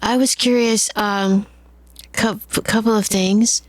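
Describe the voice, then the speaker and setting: Casting note: mid-pitched woman host, inquisitive and reflective, dry close recording. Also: steady tone, slow, no echo. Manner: curious, thoughtful questioner who rephrases ideas. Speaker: podcast host. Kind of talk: casual interview chat.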